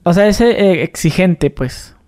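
A man's voice: high voice, lively intonation, slow pace, darkish tone, no room sound, clean studio sound. A man with a Mexican accent interviewing a guest, colloquial and joking, acting out little quoted exchanges. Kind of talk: casual interview conversation.

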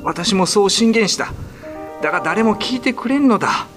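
A man's voice: high-pitched, lively intonation, normal speed, fairly bright tone, voice pushed up. A man playing a troubled young village man in a radio drama, speaking in a strained, anguished way. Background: music.

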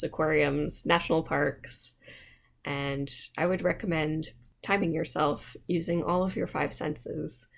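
An American woman with a low voice and plenty of gravelly fry hosts a relaxed online library session. She talks in a chatty, friendly way, full of little asides and fillers, as if speaking straight to her webcam. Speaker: library program host. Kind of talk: casual online library talk.

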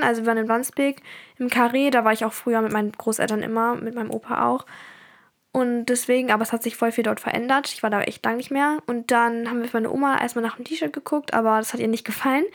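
A teenage girl from Germany talks through her week on her own podcast. She rambles in a loose, chatty way with plenty of fillers. Her voice sits a little high. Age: teens. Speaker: podcast host. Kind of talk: casual personal podcast chat.

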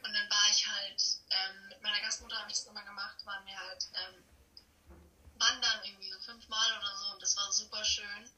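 A woman's voice: medium pitch, little pitch movement, brisk, bright, forceful tone. A teenage young woman from Germany chatting casually as an exchange student being interviewed, effusive and self-deprecating, over a remote call. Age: teens. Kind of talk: casual chat. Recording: echoey, distant phone or video call.

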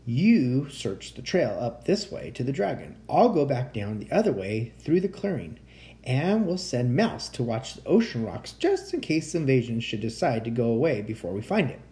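A man's voice: mid-range voice, very animated, moderate pace, dark tone. A man voicing a suspicious, self-important wild boar in a children's storybook, speaking pompously and emphatically. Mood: commanding.

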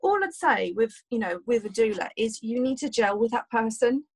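A woman's voice: on the high side, lively intonation, normal speed, breathy edge. A woman, a doula, answering podcast questions casually with many fillers and restarts while losing her voice. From United Kingdom.

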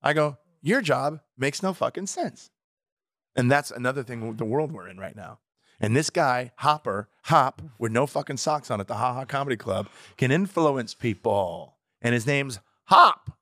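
A man's voice: mid-range voice, lively intonation, normal speed, bright, forceful tone, no echo, studio quality. A man co-hosting a podcast, a stand-up comic who tells brash, profane, teasing stories in casual banter.

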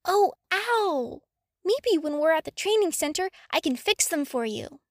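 A woman's voice: very high voice, lively intonation, unhurried, no echo, clean studio sound, voice pushed up. A woman voicing a curious, friendly and helpful schoolgirl in a storybook reading. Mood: concerned, helpful.

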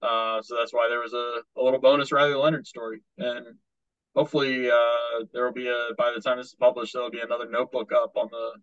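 Flat, measured sportswriter man. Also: mid-range voice, no room sound, lo-fi sound. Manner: measured, explanatory. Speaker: sportswriter co-host. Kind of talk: casual sports podcast chat.

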